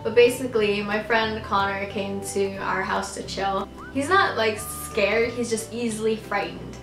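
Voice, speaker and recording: A woman's voice: mid-range voice, fairly even intonation, a little slow, roomy sound, over music, poor audio. A young woman from the Philippines who grew up in Canada, answering viewer questions in a chatty, giggly way full of "like"s and restarts. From Philippines, raised in Canada. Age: young adult. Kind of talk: casual chat.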